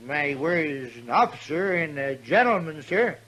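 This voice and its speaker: Pompous old army general, high voice, bit stammery, declaiming in an old western radio show. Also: male voice, big pitch swings, normal speed, a bit bright. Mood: urgent, insistent. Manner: formal, declamatory, slightly stammering. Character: pompous, excitable old ex-cavalry general, male, earnest busybody. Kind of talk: 1950s western radio acting.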